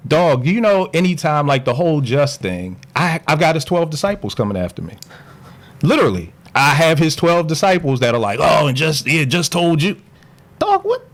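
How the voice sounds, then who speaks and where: A man's voice: on the high side, moderate pace. A man talking passionately and bluntly as a podcast guest, ranting in a casual, slangy way. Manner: passionate, animated, opinionated rants.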